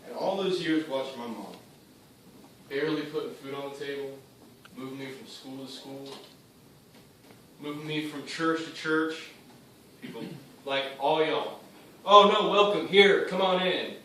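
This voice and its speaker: A man's voice: mid-range voice, steady tone, lightly acted. Man acting a bitter drug dealer, very echoey room. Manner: defiant, clipped phrases, pointed pauses. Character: bitter, cynical young male drug dealer justifying himself. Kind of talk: theatrical monologue addressed to an audience. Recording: very echoey room, distant mic, faint noise.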